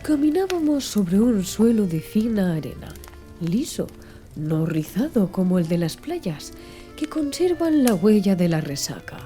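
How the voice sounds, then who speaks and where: A woman reading a literary audiobook in a measured, expressive storytelling manner. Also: mid-range voice. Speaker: audiobook narrator. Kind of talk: scripted literary audiobook narration. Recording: music under the voice. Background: music.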